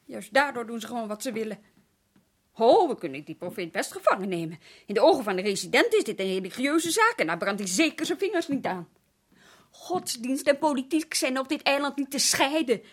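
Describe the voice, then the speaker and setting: An actress playing a reproachful, sharp-tongued middle-aged wife of a colonial official in a radio drama, scolding and scornful. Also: female voice, on the high side, big pitch swings, brisk. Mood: scornful, arguing.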